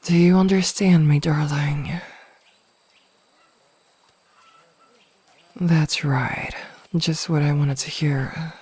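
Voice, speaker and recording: A woman's voice: low-pitched, steady tone, slow pace, darkish tone, husky, dry recording, exaggerated voice. A woman playing a possessive, teasing mage in an intimate ASMR fantasy roleplay, speaking in a coaxing, condescendingly sweet way and often slipping into whispers, close to the mic. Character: possessive, smugly teasing adult female mage toying with a captive burglar. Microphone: close microphone, dry.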